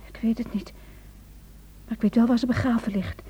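A caring, worried wife in a radio play. Her voice is fairly high yet mellow and breathy, and she speaks softly with some hesitation. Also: fairly even intonation, moderate pace, low-quality recording. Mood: hushed, confiding. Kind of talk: radio drama acting.

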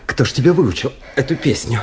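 An actor playing a self-assured, ironic young officer who tells his story in measured, literary fashion in a radio drama. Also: medium pitch, animated, a little mellow, a little hiss or hum, lightly acted. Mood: curious, probing.

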